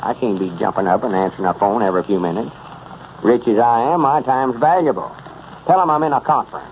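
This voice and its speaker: A man's voice: mid-range voice, quick pace, close to an everyday voice. A man playing a self-important, bossy country schemer with a rural Southern US accent, acting in 1930s radio comedy style. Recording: muffled, noisy 1930s radio recording.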